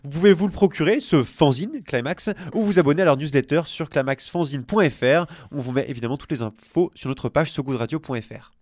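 A man's voice: a little high, fairly quick, few pauses, darkish tone, dry recording, studio quality. A man hosting a light, chatty radio news show, playful and teasing with hesitant asides.

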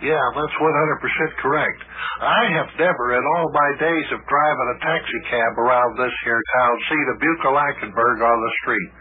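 A man's voice: medium pitch, moderate pace, narrow, tinny audio, natural voice. A man acting a grumbling, self-pitying cab driver turned private eye in an old-time radio comedy, lamenting in a melodramatic way. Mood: matter-of-fact. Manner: woeful, melodramatic, repetitive lamenting. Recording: thin, noisy old radio recording.

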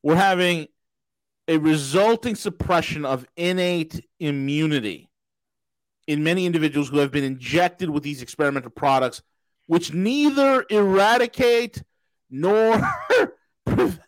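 Host, male, higher voice, dry close sound; profane, mocking ranter. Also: animated, a little slow, no room sound, low-quality recording. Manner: loud, profane, mocking rants. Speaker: podcast host. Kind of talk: casual talk show banter.

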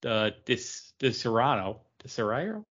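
A man runs a quiz in loose, bantering podcast style. He speaks haltingly and often stumbles mid-sentence. The recording is somewhat dull and lo-fi. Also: medium pitch, sing-song, a bit bright. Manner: halting, stumbles over words. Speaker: podcast co-host running a trivia game. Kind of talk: casual banter, quiz hosting.

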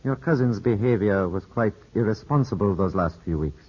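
A muffled old radio broadcast carries a courteous Italian lawyer. His voice is low and slightly husky. He speaks formally and with measure, smoothly evasive in every reply. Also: lively intonation, normal speed, darkish tone. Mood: grave, composed. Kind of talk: 1950s radio drama acting.

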